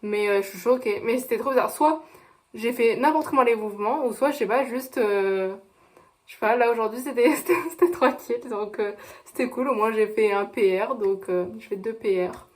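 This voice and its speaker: A woman in her twenties, a student filming a casual vlog diary, chatty and excitable, often laughing. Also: fairly high pitch, normal speed. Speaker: student vlogger.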